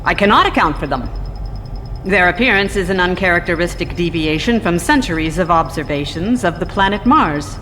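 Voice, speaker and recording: Female scientist: lowish voice, composed and skeptical, precise formal delivery for radio drama, long reverb. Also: lively intonation, brisk, fairly bright tone. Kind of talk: radio drama interview acting. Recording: long hall reverb, faint noise.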